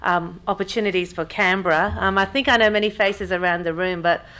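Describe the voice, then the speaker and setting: A woman in her thirties, born in Pakistan and speaking, gives a relaxed business workshop talk to a room, full of ums and restarted sentences. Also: mid-range voice, fairly quick. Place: conference room with audience.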